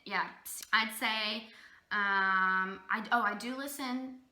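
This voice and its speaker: A woman's voice: mid-range voice, slow, bright, forceful tone. A woman in her twenties answering interview questions casually, hesitant and thinking aloud as she goes. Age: 20s. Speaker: focus group participant. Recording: roomy echo.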